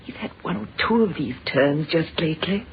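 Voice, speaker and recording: An actress playing a composed, solicitous British wife, brisk and soothing, in an old-fashioned radio drama. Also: female voice, low voice, animated. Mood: matter-of-fact. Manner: brisk, soothing, matter-of-fact. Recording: tinny, noisy old radio recording.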